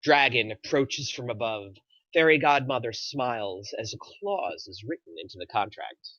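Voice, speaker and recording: A man's voice: medium pitch, animated, normal speed, fairly bright tone, no room sound. A man reading scripted stage directions as the narrator of a screenplay table read. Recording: slightly dull, dry recording.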